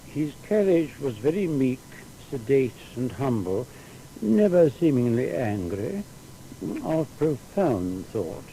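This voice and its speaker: Creaky, dark-voiced, respectful secretary. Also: male voice, mid-range voice, very animated, takes it slowly, a little hiss or hum, rough recording. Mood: respectful recollection. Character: Newton's secretary, plain respectful man recalling his master. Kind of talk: dramatised testimony in audio biography.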